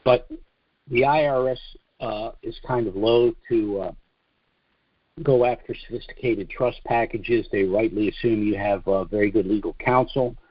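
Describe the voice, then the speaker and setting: An American man giving a monologue briefing as host of a conference call, speaking deliberately and haltingly, with emphatic drawn-out phrases. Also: lowish voice, dry recording. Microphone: conference call line. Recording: dull, lo-fi conference call audio.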